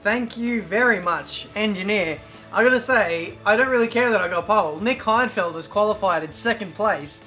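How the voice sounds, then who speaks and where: A man with a British accent talking over his racing game in a chatty, excitable play-by-play, his voice a little croaky. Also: high-pitched, normal speed, faint music behind. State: slightly croaky. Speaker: gaming YouTuber.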